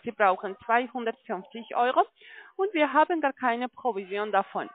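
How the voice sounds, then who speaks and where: A non-native German-speaking woman with a foreign accent giving a telephone sales pitch as a call-centre agent, often checking in as she goes. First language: not German. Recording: muffled, tinny phone line.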